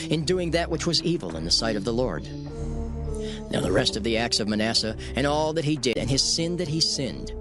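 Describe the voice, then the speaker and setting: Male scripture narrator: solemn, measured, very expressive pitch movement, over a music bed.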